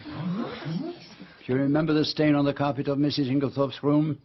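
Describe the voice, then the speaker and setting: An actor playing a methodical, patient detective in a radio drama, explaining deliberately with dramatic emphasis and pauses. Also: male voice, fairly high pitch, huge pitch swings, highs cut off, a little hiss or hum. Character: methodical, theatrical, patient male detective unravelling a murder.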